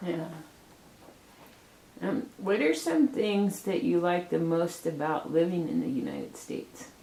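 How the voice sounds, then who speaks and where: A woman's voice: lowish voice, sing-song, slow, vocal fry, dark tone. A young woman conducting a student interview, reading prepared questions with brief reactions. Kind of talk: casual interview. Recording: echoey room.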